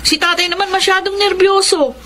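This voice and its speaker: An actress playing a brash, confident, driven younger sister in a Filipino radio drama. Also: female voice, extremely high pitch, steady tone, brisk, bright, forceful tone, a little hiss or hum. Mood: boastful, defensive.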